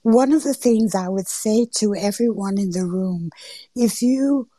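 A middle-aged woman with a mild accent between Southern and Northern American, speaking in an unhurried storytelling way in a roundtable discussion. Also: medium pitch, no room sound. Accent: between Southern and Northern US, neither strong. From Georgia and New York, US. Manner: unhurried storytelling with drawn-out phrases and soft asides. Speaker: panel speaker. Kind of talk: roundtable discussion on a live audio forum.

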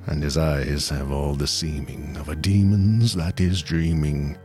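A man giving a solemn, measured, dramatic reading as narrator of a dark stories podcast. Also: extremely low pitch.

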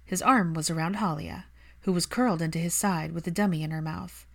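Female audiobook narrator for fan fiction, slightly low in pitch, scripted prose reading. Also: a little fast, creaky.

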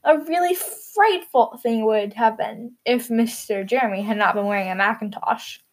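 A woman tells a children's story as narrator and host. Her voice sits a little high in pitch. She reads in a storybook style meant for young listeners. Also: normal speed.

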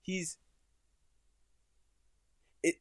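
A man's voice: drawn-out words, no echo. A young man co-hosting a podcast, teasing his friend in laid-back, slangy chat. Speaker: podcast co-host. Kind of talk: casual chat.

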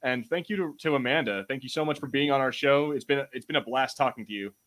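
A man's voice: mid-range voice, fast, fairly bright tone. A man hosting a podcast in casual, enthusiastic, informal fan chat. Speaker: podcast host.

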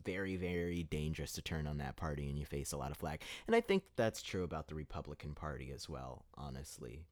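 A man's voice: extremely low pitch, sing-song, brisk, hardly any pauses, a little mellow, dry recording. Fired-up man in a dry monologue. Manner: heated, emphatic, lots of fillers and restarts. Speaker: podcast host. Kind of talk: opinion commentary monologue.

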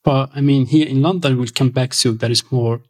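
Interview guest: Syrian Kurdish man, thirties, halting speech full of ums and restarts, mid-pitched voice. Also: steady tone. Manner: hesitant, many fillers and restarts. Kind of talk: casual interview.

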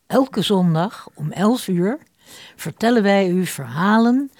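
Casting: female radio presenter, unhurried and scripted, lots of intonation, creaky edge. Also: mid-range voice, expressive, a little mellow, no room sound. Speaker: presenter introducing the episode. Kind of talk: scripted radio drama introduction.

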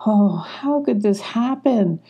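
A woman voicing a caring, excitable girl adventurer in a children's story, earnest and encouraging. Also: medium pitch, slow pace, husky. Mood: dismayed.

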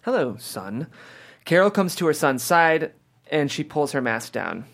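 A man's voice: fairly high pitch, lively intonation, normal speed, gravelly, a bit bright. A man narrating scene directions from a screenplay at a table read, in scripted narration.

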